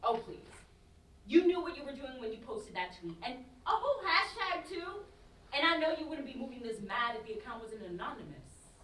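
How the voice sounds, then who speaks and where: A woman's voice: high-pitched, very animated, moderate pace, a bit bright. A woman with a London accent playing a cheeky, joking young best friend in a staged reading, teasing and slangy with a mock-solemn streak. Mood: sceptical. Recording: distant, echoey stage recording, noisy background.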